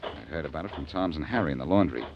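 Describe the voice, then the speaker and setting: Very deep, cool tough convict. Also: male voice, lively intonation, very fast. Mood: cool, insistent. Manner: clipped, cool, insistent tough-guy talk. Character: shrewd, tough convict hero scheming a jailbreak. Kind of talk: 1940s radio crime drama acting. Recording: muffled, noisy 1940s radio recording.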